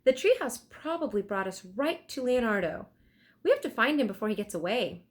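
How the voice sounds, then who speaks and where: An eager, confident girl adventurer from a children's storybook, acted by a woman. The voice is raised and animated, with upbeat emphasis. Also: on the high side, a little fast, close to an everyday voice. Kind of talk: children's storybook read aloud.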